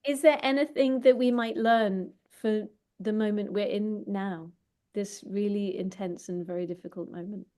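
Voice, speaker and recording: Woman, discussion host. Thoughtful, informal moderating with halting, searching delivery; mid-pitched voice. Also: steady tone, mellow. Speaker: host and moderator of an online talk.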